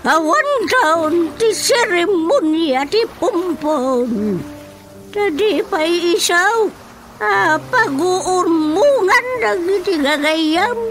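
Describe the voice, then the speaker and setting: A woman's voice: very high-pitched, fairly bright tone, full character voice. A woman playing a chatty mother with a third eye in a radio comedy, in a sing-song, dramatic way of talking. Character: middle-aged mother who sees with a third eye. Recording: music under the dialogue.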